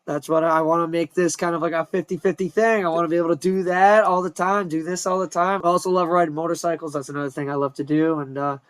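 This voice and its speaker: A man in his twenties co-hosting a casual podcast from home, upbeat and jokey with loud bursts. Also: fairly high pitch, level tone, fast, few pauses, smooth, dry recording. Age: 20s. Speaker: podcast co-host. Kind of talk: casual chat. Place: home room.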